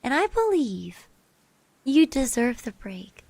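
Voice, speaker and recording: A woman plays a kind, bashful girl of eighteen in an ASMR classmate roleplay. Her voice is soft and fairly high, and she speaks very slowly with hesitant, reassuring stammers. The sound is close and dry. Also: very animated, creaky, no echo, heavily acted. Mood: gently encouraging. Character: shy, kind eighteen-year-old girl classmate giving encouragement. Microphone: close microphone.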